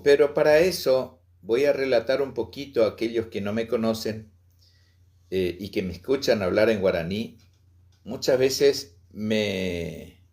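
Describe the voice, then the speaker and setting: A middle-aged man tells his story in an unhurried way, lingering on each phrase. His voice sits at an ordinary middle pitch, and he pauses often with soft fillers. He speaks with heartfelt passion. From Misiones, Argentina. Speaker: Guaraní language and culture promoter. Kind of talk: personal storytelling to online viewers.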